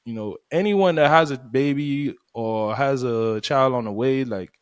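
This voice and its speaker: A man's voice: medium pitch, a little slow, smooth, no echo, studio quality. A man co-hosting a podcast, talking in an easygoing way full of "man" and "you know.". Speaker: podcast co-host, music artist. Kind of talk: casual chat.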